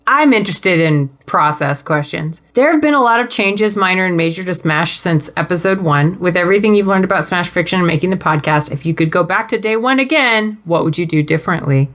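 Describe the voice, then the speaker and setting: A woman's voice: lowish voice, expressive, a little fast, few pauses, a bit bright. A woman co-hosting a podcast, chatting casually with the panel. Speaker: podcast co-host.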